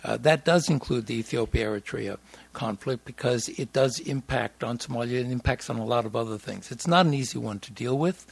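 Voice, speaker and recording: A man speaks on a discussion panel, measured and hesitant, stopping often with fillers and restarting his sentences. His voice is gravelly and husky, with a rough, breathy edge. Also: medium pitch. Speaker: panelist on foreign policy.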